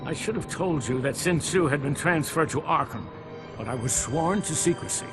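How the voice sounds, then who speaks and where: An actor playing an earnest ally and informant to a hero in a video game, measured, serious and concerned. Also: male voice, a little high, lively intonation, over music, lightly acted. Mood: apologetic. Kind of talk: video game dialogue acting.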